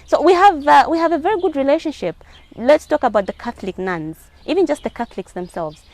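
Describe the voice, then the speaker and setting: A woman's voice: high-pitched, expressive, quick pace, a little mellow, dry recording. A woman with a Zambian accent giving earnest answers as a guest in a spoken interview. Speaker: interview guest, hijab advocate.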